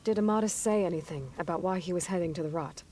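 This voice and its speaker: A woman's voice: fairly low pitch, fast, dark tone, lightly acted. An actress playing a determined young female adventurer in a video game, speaking in a direct, questioning manner. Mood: curious, businesslike. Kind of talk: video game character acting.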